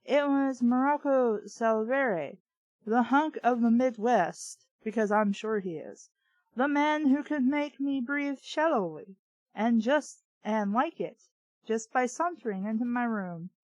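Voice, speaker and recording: A woman's voice: a little high, takes it slowly, darkish tone, no room sound, highs cut off. A woman reading fiction aloud and breaking in with sarcastic, irreverent, exasperated commentary. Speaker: book review host.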